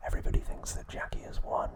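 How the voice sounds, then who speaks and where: A man narrates in a full, breathy whisper, with no voiced tone. He speaks as an ASMR host giving a recap. His delivery is slow and measured, one phrase at a time.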